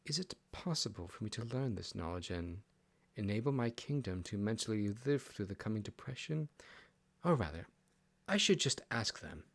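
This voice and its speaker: Guy doing a proud huffy fox queen for an audiobook, formal and bossy, lowish voice. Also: sing-song, normal speed, creaky, full character voice. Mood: brooding, questioning. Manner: haughty, formal, wordy.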